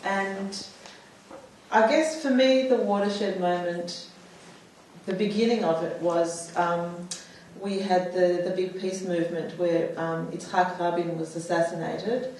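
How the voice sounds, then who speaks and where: Woman, 40s, from the US; halting personal testimony full of fillers; lower voice; distant mic, very echoey hall. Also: steady tone, a little mellow, slight background noise. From United States. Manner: hesitant, many fillers and restarts. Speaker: panel speaker. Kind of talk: personal testimony at a public talk.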